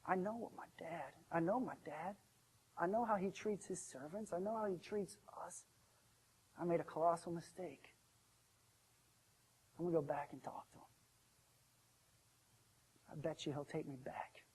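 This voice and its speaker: Man as a regretful young son, hushed, earnest, hesitant, breathy husky voice, spoken parable. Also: fairly high pitch, fairly even intonation, a little slow, pauses often, voice pushed down, full character voice, low-quality recording. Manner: quiet, subdued, hesitant, earnest.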